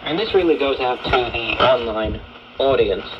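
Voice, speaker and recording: A male radio host talks in a steady, earnest monologue, with occasional hesitations and lines he stresses firmly. His voice sits in an ordinary middle pitch. It comes through a dull, narrow shortwave receiver with clear static hissing underneath. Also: moderate pace. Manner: earnest, steady delivery with frequent "uh" fillers, rising to emphatic stresses. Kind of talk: radio talk show monologue.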